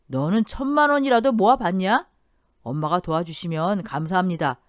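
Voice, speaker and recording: Woman playing firm husband, cold, commanding, louder than narration. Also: on the low side, moderate pace, no echo, studio quality, close to an everyday voice. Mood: angry. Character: stern, firm husband, eldest son.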